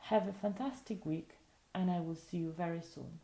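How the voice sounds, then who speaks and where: A woman whose first language is Polish, calmly explaining phone functions step by step in a patient way. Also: lowish voice, moderate pace, vocal fry, reverberant, low-quality recording. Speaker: tutorial presenter. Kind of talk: casual spoken tutorial. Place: indoors at a table.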